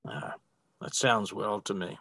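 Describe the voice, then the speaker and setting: A man's voice: on the low side, very animated, a little slow, gravelly, pushed and bright, dry recording. A man playing a tabletop roleplaying game, speaking in character with formal, lofty phrasing.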